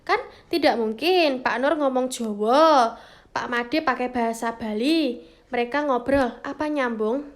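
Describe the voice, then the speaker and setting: A woman's voice: high-pitched, unhurried. A woman teaching a primary school lesson to children, speaking encouragingly, asking the class questions and answering them herself. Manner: warm encouraging, calls pupils "Nak", asks questions then answers them.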